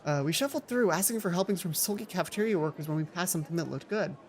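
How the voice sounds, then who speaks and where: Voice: mid-range voice, lively intonation, fast talker. A let's play host reading a visual novel aloud theatrically, with casual, playful asides. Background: music.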